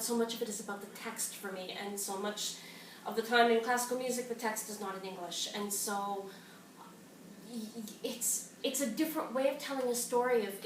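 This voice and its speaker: A woman from the Canadian Maritimes, a singer and actor, talking through her thoughts in a relaxed interview with frequent restarts. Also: medium pitch. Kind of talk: casual conversation. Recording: roomy echo, distant mic, faint noise.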